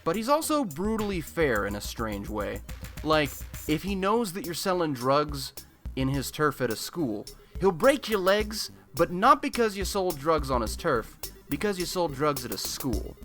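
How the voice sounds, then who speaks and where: A man's voice: on the high side, very animated, measured pace, a bit bright, faint music behind. A man playing a nerdy, cocky young broadcast host in a superhero radio play, talking in a chatty, sarcastic and emphatic monologue. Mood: chatty, explanatory. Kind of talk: casual radio-show monologue in a scripted audio drama.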